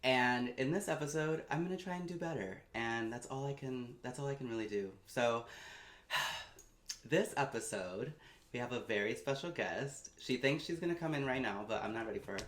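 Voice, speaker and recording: A man hosting a casual podcast, campy and loud, who swears a lot and swings between hushed and shouting. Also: medium pitch, moderate pace, reverberant. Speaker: podcast host. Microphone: studio mic.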